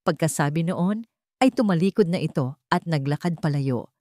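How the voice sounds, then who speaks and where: Female storyteller, lower register, dry close recording, scripted romantic drama narration. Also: animated, normal speed, dark tone, no room sound.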